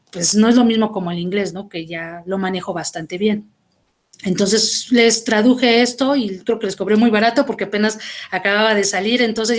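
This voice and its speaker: A Mexican woman, a guest translator, talking through her experience with thoughtful explanations and small restarts over a video call. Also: medium pitch. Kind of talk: conversational class interview.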